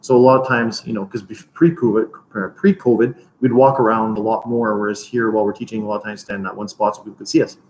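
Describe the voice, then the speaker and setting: A man's voice: on the low side, little pitch movement, fast talker, few pauses, a little mellow, a little hiss or hum, low-quality recording. A man co-hosting a martial arts gym podcast, chatting casually and laughing often. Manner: laughs often, loose rambling talk. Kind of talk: casual chat.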